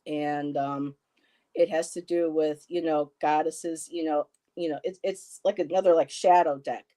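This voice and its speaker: Fifties lady hosting a live stream, lowish voice, chatty and rambly, laughs a lot. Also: fairly even intonation, measured pace, warm tone. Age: 50s. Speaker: host. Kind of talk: casual live-stream chat.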